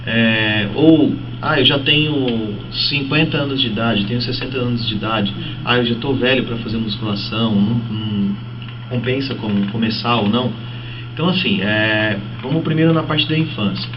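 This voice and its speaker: A Brazilian man talks as an interview guest, explaining things conversationally with plenty of examples. His mid-pitched voice sits off the mic in a dull recording. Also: normal speed. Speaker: fitness trainer, guest. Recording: muffled, noisy, distant-sounding broadcast audio.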